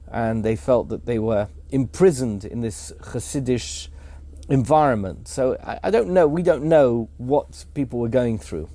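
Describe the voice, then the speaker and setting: Warm-voiced male history guest. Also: medium pitch. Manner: fluent, lecturing, with fillers. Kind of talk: casual explanatory podcast talk.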